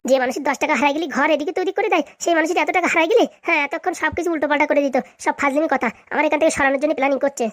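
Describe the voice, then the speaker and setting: Woman acting indignant cartoon villager, high-pitched, breakneck pace, sarcastic, argumentative. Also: steady tone, very fast, hardly any pauses, no room sound, close to an everyday voice. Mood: mocking, defiant. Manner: argumentative, sarcastic, long-winded. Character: cheeky, indignant villager accused of theft, talking back. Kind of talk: comic cartoon dialogue.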